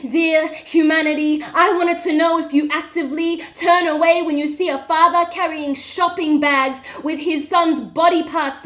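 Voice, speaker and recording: A woman performing spoken word poetry in an impassioned, accusatory manner with deliberate, dramatic delivery. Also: sits high, fairly bright tone. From Western Australia.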